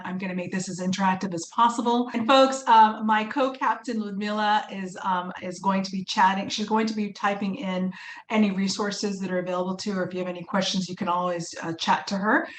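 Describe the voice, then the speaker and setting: An upbeat, encouraging woman originally from Uganda teaches a webinar over a video call. Her voice has a mid-range pitch, and she fills pauses with "um" and restarts phrases often. The sound is echoey, with faint room noise behind her. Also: steady tone. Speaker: workshop presenter and fundraising instructor.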